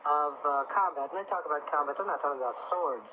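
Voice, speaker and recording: Male expert guest for talk radio, slightly high pitch, earnest and explanatory, narrow phone-like sound. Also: fast talker. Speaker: studio guest, crystal expert. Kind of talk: live radio interview, explaining to a caller. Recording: noisy, phone-like old radio broadcast tape.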